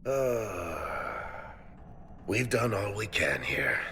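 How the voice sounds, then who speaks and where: An actor voicing a wry, world-weary dwarf storyteller in a video game, raspy and bluntly sardonic, with guilt under it. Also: male voice, on the low side, very animated, extremely slow, bright, forceful tone, a little hiss or hum, full character voice. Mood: weary regret. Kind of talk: video game dialogue acting. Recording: long hall reverb.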